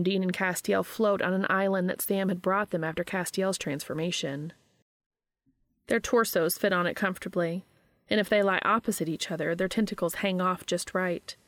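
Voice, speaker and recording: A woman reading a story aloud as narrator, in an expressive scripted storytelling style. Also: medium pitch, no room sound. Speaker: fan fiction reader and narrator.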